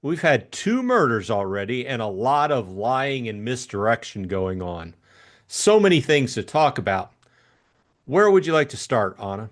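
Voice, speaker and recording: A man co-hosting a casual book discussion, thinking aloud in a hesitant, halting way. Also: medium pitch, big pitch swings.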